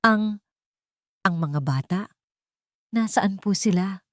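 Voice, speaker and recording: A woman with a Filipino accent voicing a frail, selfless young woman in an audiobook drama, halting, polite and worried. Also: mid-range voice, dry recording, studio quality, voice pushed up, close to an everyday voice. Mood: weak, anxious.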